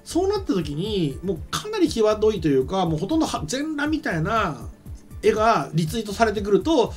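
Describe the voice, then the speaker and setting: Middle-aged guy on a talk show, talks fast but keeps thinking out loud, faint music behind. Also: sits high, big pitch swings. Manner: hesitant, thinking aloud. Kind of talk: casual news commentary. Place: small indoor streaming studio. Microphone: desk microphone on a stand.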